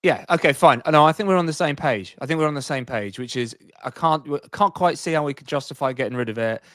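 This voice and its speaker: A man's voice: fairly high pitch, fast talker, a bit bright, dry recording, studio quality. A man co-hosting a podcast, casually debating sport and repeating himself to make his point. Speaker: podcast co-host.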